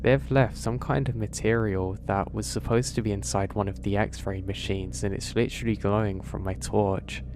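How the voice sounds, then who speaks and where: A man narrating first-person horror stories in a steady, even storytelling read. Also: on the low side, normal speed, few pauses, noisy background. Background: background music.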